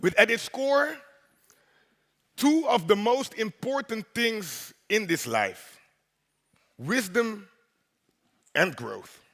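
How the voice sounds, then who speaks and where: A man hosting the opening of a congress on stage, with a very deep voice, talking in a playful, rhythmic way and drawing in the crowd. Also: big pitch swings, slow pace, long pauses, fairly bright tone. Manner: playful, rhythmic, crowd-engaging with repeated "right?". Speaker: host and MC. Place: large conference hall. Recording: long hall reverb.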